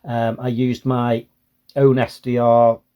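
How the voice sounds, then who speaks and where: A man's voice: mid-range voice, completely flat delivery, slow pace, clean tone, lo-fi sound. A British man giving a casual, plain-spoken explanation as a hobbyist presenter.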